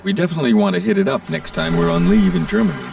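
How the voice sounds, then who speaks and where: A dutiful young military plane in an animated game. He is inquisitive and formally earnest, with a creaky voice and lively, shifting pitch. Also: racing, distant mic, slight background noise, heavily acted. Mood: curious.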